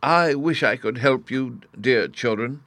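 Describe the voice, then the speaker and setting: A man voicing an excitable, absent-minded, kindly old professor in a storybook, with a flustered, pedantic manner. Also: medium pitch, a little slow, no room sound, voice pushed up.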